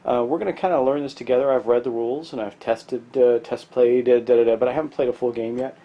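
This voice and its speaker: A man's voice: mid-range voice, fairly even intonation, quick pace, lo-fi sound. A middle-aged man, stuffy from allergies, casually walking through a board game with plenty of ums and restarts. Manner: rambling, many ums and restarts. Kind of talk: casual tabletop game walkthrough.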